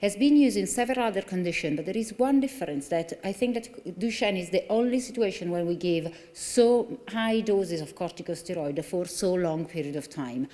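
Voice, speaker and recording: Italian woman doctor at a lectern, mellow lowish voice, hall reverb, earnest explainer. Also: normal speed, few pauses. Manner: earnest, explanatory, with frequent fillers and restarts. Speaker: consultant neurologist. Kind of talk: conference lecture. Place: large conference hall. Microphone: lectern microphone. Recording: long reverb of a large hall.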